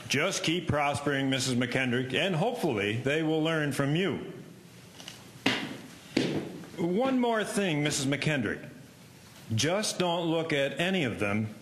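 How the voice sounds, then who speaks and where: A man playing a practical, authoritative reverend with a measured, preachy manner in a historical drama. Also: a little high, lively intonation, fairly bright tone, slight background noise. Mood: kindly. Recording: echoey room.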